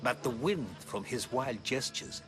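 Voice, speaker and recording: A man's voice: mid-range voice, animated, a little slow, over music, close to an everyday voice. An actor playing a genial, learned older Greek man, wry and eloquent, in a video game cutscene. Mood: amused.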